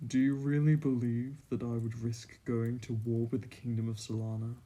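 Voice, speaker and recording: Man acting a slow, mellow, haughty vampire prince. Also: medium pitch. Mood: haughty, persuasive. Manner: formal, condescending, deliberate. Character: smooth, haughty male vampire prince, self-assured and courtly. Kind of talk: scripted ASMR roleplay acting.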